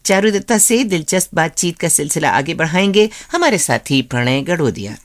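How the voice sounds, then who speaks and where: A female radio host presents from a script with plenty of melodic movement. Her voice is slightly low for a woman. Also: big pitch swings, moderate pace.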